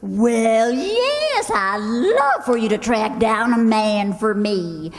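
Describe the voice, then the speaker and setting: A feisty, lovestruck country landlady as a woman acts her out in an old-time radio comedy. Her high voice carries a rural Southern accent and takes its time, folksy through swooning and scolding alike. It rings with the long reverb of a hall. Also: big pitch swings, slow pace, full character voice. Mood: folksy, eager.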